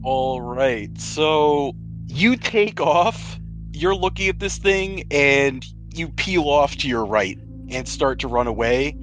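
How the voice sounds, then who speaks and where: A man narrates a tabletop game with very animated intonation. He takes his time and teases the players playfully. Soft music plays behind him. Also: a little high, slow pace, poor audio. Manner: playful, teasing. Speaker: game master.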